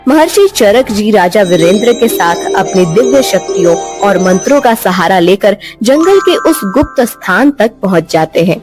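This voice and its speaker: A woman tells a cartoon moral story in a steady storybook manner, with clear delivery. Her voice sits at a middle pitch over faint background music. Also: moderate pace, hardly any pauses. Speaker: narrator. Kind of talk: storybook narration.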